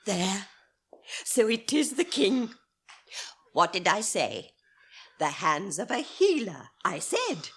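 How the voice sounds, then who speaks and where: A woman's voice: medium pitch, big pitch swings, slow, long pauses, a bit bright, slightly rough, exaggerated voice. An actress playing a fussy, prattling old female healer in a 1980s radio drama. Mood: triumphant.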